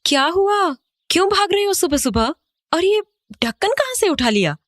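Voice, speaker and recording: A woman playing an uneasy young mother in a horror audio drama, expressive and questioning. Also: very high voice, normal speed, no room sound, clean studio sound. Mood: puzzled. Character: uneasy young mother back in childhood home.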